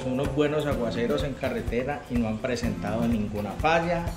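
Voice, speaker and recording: A man in his forties with a Colombian accent, giving a casual product review to camera as a motorcycle vlogger. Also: medium pitch. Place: living room at home, indoors. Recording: roomy echo, music underneath.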